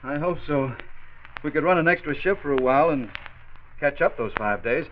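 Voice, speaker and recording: An actor playing a loyal, deferential plant supervisor in a 1940s radio drama, earnest and apologetic. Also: male voice, a little high, expressive, moderate pace, a little hiss or hum, natural voice. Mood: earnest, explaining. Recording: muffled 1940s radio transcription.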